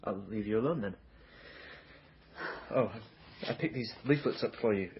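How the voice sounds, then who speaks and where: A man's voice: lowish voice, normal speed, dull audio, noisy background, lightly acted. An actor in a comic radio drama playing a sassy, meddling male waiter, theatrical and arch in manner. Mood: sulky, meddling. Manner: theatrical, arch, feigned clumsiness.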